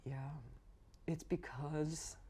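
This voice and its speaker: A woman's voice: low-pitched, steady tone, warm tone, breathy edge, full character voice. A woman playing a nervous, secretive beekeeper who stammers and halts as she answers in a tabletop game. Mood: evasive, flustered. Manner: flustered, halting, stammering. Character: nervous, secretive beekeeper dodging questions about magic bees.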